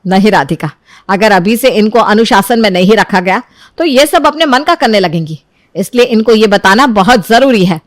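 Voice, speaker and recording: A woman's voice: mid-range voice, expressive, moderate pace, no echo, close to an everyday voice. A woman voicing a strict middle-aged mother-in-law who speaks firmly and commandingly. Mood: stern. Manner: firm, commanding.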